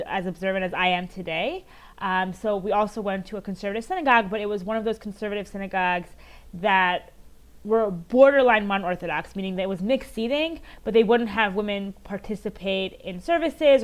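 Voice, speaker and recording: Earnest woman guest telling her story. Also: medium pitch. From United States, Maryland. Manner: earnest storytelling, many "um" pauses. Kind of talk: conversational interview answers. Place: home in Jerusalem, on a remote call.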